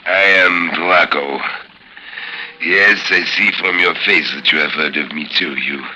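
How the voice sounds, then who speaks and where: A big, weary French gangster on his deathbed, his voice extremely low and forceful. He speaks haltingly with a French accent and solemn gratitude, in a hissy, noisy 1950s radio drama recording. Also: full character voice. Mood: weary, fading. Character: big, dying French crime boss, weary and grateful.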